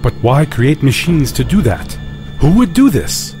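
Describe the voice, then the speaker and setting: A man's voice: mid-range voice, very animated, measured pace, mellow. A man acting a plain-spoken, questioning spaceship crewman in a science fiction audio drama. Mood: suspicious. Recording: music clearly under the dialogue.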